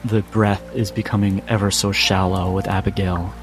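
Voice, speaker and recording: Low-voiced man narrating dramatically over music. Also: flat delivery. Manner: deliberate, short phrases with pauses. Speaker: game master. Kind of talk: dramatic tabletop game narration. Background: music and rain sounds.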